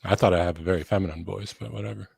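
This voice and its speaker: A man's voice: low-pitched, steady tone, racing, gravelly, darkish tone, slightly rough, no echo, studio quality. A man on a casual live chat show, laid-back, explaining the stream's features step by step.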